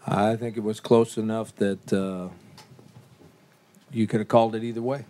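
A man fielding reporters after a loss, speaking low and mellow. he talks plainly and bluntly, with a few uh's as he works out each answer. it sounds like a head coach at a postgame podium. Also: little pitch movement, slight background noise. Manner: measured, plain-spoken, blunt with fillers. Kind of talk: postgame press conference answers.

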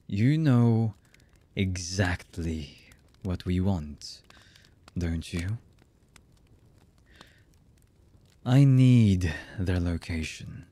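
A man acting a very slow, deep, husky villain. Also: warm tone, no room sound. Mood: calmly threatening. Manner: slow, menacing, mock-polite. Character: cold, heartless male villain boss, calmly threatening captives. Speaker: voice actor. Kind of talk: ASMR villain roleplay acting.

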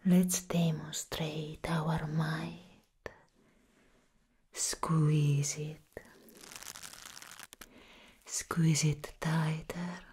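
A woman playing a seductive, sinister plant villainess in a breathy whisper, as soft-spoken ASMR roleplay, slow and deliberate. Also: deep voice, level tone, exaggerated voice. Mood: commanding, menacing.

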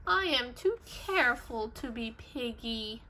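A woman's voice: a little high, lively intonation, slow pace, bright, forceful tone, a little hiss or hum, full character voice. Woman as an anxious, careful little storybook pig. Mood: fretful.

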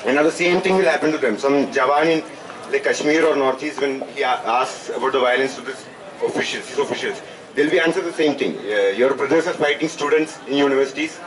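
A young man with an Indian accent speaks off the cuff to an outdoor gathering in a medium-pitched voice. He goes haltingly, circling back over phrases. The sound is picked up from a distance, with clear noise around it. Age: 20s. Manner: halting, repeating phrases as he searches for words. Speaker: student speaker at a rally. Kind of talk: impromptu public address. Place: outdoors at night under a canopy. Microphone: handheld microphone, recorded from a distant camera. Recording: noisy outdoor PA, distant camera pickup.

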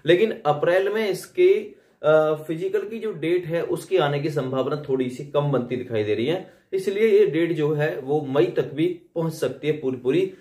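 A man's voice: a little high, moderate pace, darkish tone, reverberant. A young man explains updates informally to students, repeating himself and adding tag questions. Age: young adult. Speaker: host of exam update videos.

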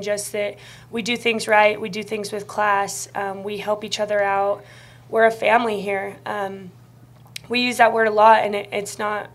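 A woman in her early twenties with an American accent, a college athlete giving an earnest, steady answer at a press conference. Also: mid-range voice. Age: early 20s. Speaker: college softball player. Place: indoor press conference room. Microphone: tabletop microphone.

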